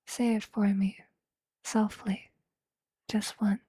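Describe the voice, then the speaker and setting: A performer voicing a tender, possessive, motherly vampire in a soft, breathy whispered ASMR roleplay, speaking slowly and intimately in formal, poetic phrases. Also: medium pitch, little pitch movement, no echo, studio quality.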